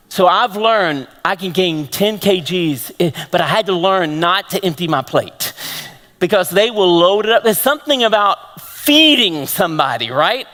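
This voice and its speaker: A man with a Texan accent preaching a lively sermon to a congregation, throwing out questions to the room. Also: high voice, a little slow. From Texas. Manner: animated, rhetorical questions to the congregation. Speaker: pastor. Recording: long hall reverb.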